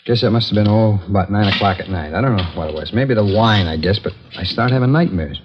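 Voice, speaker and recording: A man's voice: on the low side, fast, natural voice. An American man acting a casual, remorseless drifter who confesses to killings in a 1950s radio drama, talking in an offhand, rambling way on a dull, noisy old recording. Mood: explaining. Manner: offhand, matter-of-fact, rambling.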